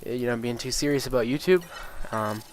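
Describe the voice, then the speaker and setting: A man's voice: mid-range voice, brisk, slight background noise. A man with a stuffy, sniffly nose, chatting casually over gameplay, rambling with lots of ums and getting worked up about game balance. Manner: rambling, with many ums and uhs, and swears freely; gets excited and incredulous about game balance. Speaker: gaming YouTuber.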